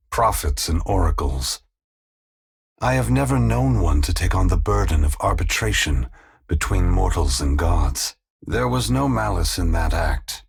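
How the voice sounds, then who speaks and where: Deep, gravel-throated weary god. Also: male voice, full character voice. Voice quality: gravelly, husky. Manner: slow, measured, philosophical, formal. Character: weary, compassionate male god, grave and solemn. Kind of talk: video game voice acting, dramatic dialogue.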